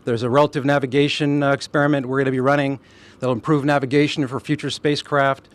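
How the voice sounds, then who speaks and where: A man's voice: mid-range voice, level tone, fast talker. A man, an astronaut, giving a loosely spoken press statement with enthusiasm and many fillers.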